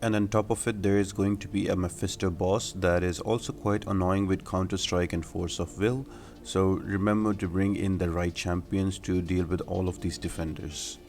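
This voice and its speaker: A man with a low-pitched voice talks through a mobile game strategy guide. He explains things casually and step by step, as a gaming channel host would. Music plays clearly behind his narration. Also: flat delivery, moderate pace, darkish tone. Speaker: video game guide YouTuber. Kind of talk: casual gameplay walkthrough narration. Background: music under the voice.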